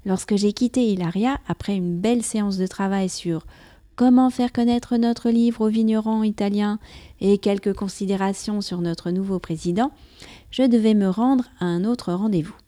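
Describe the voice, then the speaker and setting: French woman with a mellow voice, telling a podcast story. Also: medium pitch, moderate pace, no echo, studio quality. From France. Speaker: podcast host. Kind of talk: scripted personal narration. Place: near Paris.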